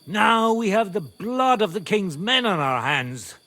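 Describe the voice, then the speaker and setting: A man's voice: high-pitched, very animated, unhurried, bright, forceful tone, exaggerated voice. World-weary middle-aged medieval nobleman. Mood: worried, reproachful. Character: wary, pragmatic medieval nobleman and father of grown daughter. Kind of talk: video game cutscene acting.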